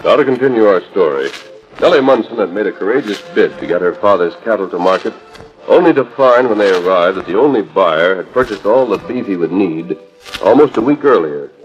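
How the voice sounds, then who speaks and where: An American man narrating a western adventure serial in dramatic 1940s radio style. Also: deep voice. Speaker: announcer and narrator. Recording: muffled 1940s radio transcription, music behind.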